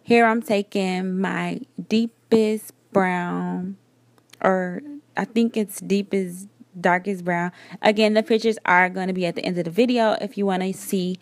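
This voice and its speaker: A woman in her thirties giving a relaxed, chatty voiceover for a makeup tutorial, explaining each step. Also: medium pitch, a little slow, no room sound. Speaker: beauty vlogger. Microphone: voiceover recorded separately.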